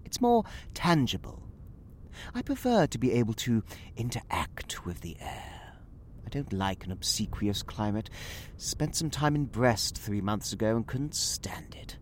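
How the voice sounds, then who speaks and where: A man's voice: mid-range voice, big pitch swings, a little slow, in a whisper. A British man playing a genteel, talkative Victorian magazine writer in an audio drama, rambling and theatrical in manner. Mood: whimsical, teasing. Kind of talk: audio drama acting in period English.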